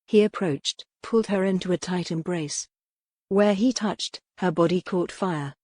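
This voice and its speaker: A woman's voice: lowish voice, measured pace, dark tone, dry recording. A woman reading scripted, dramatic historical romance narration as an audiobook narrator.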